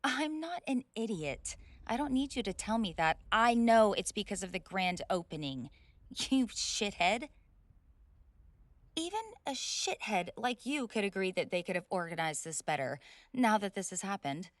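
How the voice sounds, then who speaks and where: A woman's voice: medium pitch, very animated, normal speed, no echo, natural voice. A woman playing a spoiled rich college bully in a roleplay audio drama, indignant, snobbish and sweary, stammering when flustered. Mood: scornful. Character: haughty, spoiled rich college girl bully, hot-tempered tsundere. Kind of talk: scripted roleplay audio drama, one side of a dialogue.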